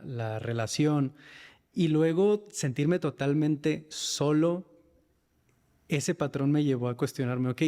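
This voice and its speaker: A man's voice: fairly high pitch, gravelly, dark tone, long reverb tail. A man with a northern Mexican accent speaking reflectively about himself and his work as an interview guest. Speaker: podcast guest, men's mental health facilitator. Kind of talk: reflective personal interview talk.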